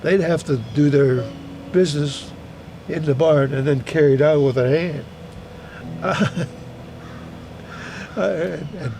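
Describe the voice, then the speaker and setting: A man in his eighties from Hungary, a native German speaker, recalls his life in a halting, repetitive, plain-spoken way, as in an oral history interview. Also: on the high side, vocal fry, a little mellow, a little hiss or hum. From Hungary, German-speaking household. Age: 80s. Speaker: retired teacher recounting his life. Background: music under the voice.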